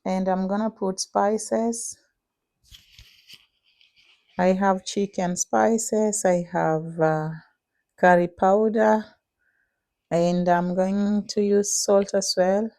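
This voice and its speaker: Slow Kenyan woman, gravelly and mellow. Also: mid-range voice, fairly even intonation, no echo, clean studio sound. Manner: unhurried, many "uh" pauses while cooking. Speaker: vlogger. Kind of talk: casual cooking vlog.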